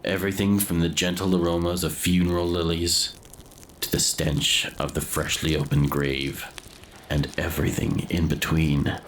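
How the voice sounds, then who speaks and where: A man's voice: low voice, little pitch movement, moderate pace, slight background noise, natural voice, rough recording. An actor playing a doomed grave robber narrating his confession in a gothic horror audio drama, with a grave, florid, brooding manner. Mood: brooding dread.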